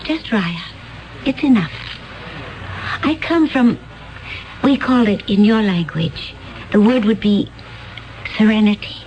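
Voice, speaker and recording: An actress playing a calm, mysterious woman from another planet, speaking in a solemn, measured way in a 1970s radio drama. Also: medium pitch, lo-fi sound.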